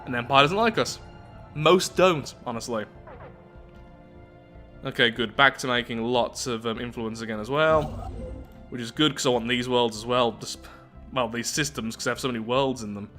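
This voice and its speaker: A man's voice: medium pitch, normal speed, pauses often, pushed and bright, faint music behind. A man giving casual commentary on a strategy game playthrough, thinking aloud as he goes. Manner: thinking aloud, musing to himself.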